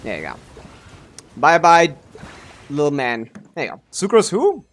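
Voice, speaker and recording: A man's voice: fairly high pitch, wildly swooping pitch, unhurried, a bit bright, slight background noise. A man giving casual live gameplay commentary as a streamer, offhand and sweary, full of gamer slang. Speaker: game streamer.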